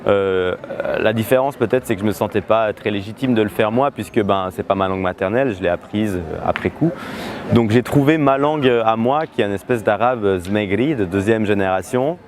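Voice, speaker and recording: A young man, answering interview questions about his music in a relaxed, hesitant way with many filler pauses. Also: lowish voice. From Switzerland. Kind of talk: casual interview talk.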